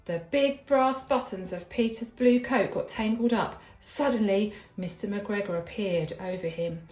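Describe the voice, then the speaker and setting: A woman, sounding like a schoolteacher, reads a children's story expressively, with deliberate, dramatic emphasis. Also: medium pitch, darkish tone. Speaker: primary school teacher reading a story. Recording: echoey room with background music.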